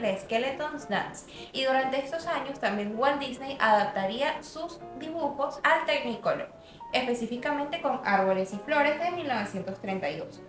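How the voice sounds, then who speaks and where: High-pitched young woman in a roomy space. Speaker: student narrator. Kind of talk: scripted documentary narration. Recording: roomy voice-over with music bed.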